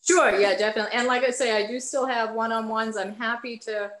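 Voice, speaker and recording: A woman painter answering questions over a video call in an animated, chatty way. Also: on the high side, fairly quick, roomy sound. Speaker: painter and art teacher.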